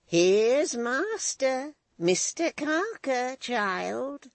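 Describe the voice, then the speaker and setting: A reader voicing a sly, prying old woman in an audiobook, slow and coaxing with a breathy edge. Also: mid-range voice, huge pitch swings, dry recording, heavily acted. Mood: slow, wheedling. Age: older. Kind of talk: audiobook character voice.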